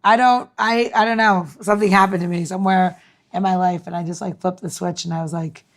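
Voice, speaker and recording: A woman's voice: on the low side, steady tone, brisk. A woman co-hosting a podcast, blunt and candid with lots of laughter. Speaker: podcast co-host. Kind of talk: casual chat.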